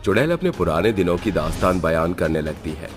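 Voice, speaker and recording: A man's voice: deep voice, big pitch swings, brisk. A man giving dramatic scripted narration of a horror story. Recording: music behind.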